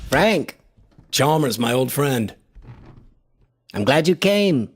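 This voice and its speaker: A swooping, effusive antiquarian. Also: male voice, mid-range voice, very animated, slow, breathy edge, exaggerated voice. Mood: eager, welcoming. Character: eccentric antiquarian greeting an old friend. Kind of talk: radio drama acting.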